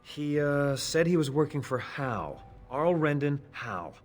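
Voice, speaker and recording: A man's voice: a little high, sing-song, a little slow, soft background music. An actor playing a nervous, evasive elf spy in a fantasy game, defensive and pleading as he stammers. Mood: frightened, pleading.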